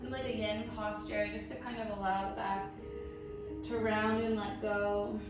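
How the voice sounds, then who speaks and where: A woman yoga instructor leading an online class in a gentle, encouraging, instructional way. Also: mid-range voice, little pitch movement, unhurried. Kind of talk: guided vinyasa yoga class. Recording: very echoey, distant, music playing. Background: music.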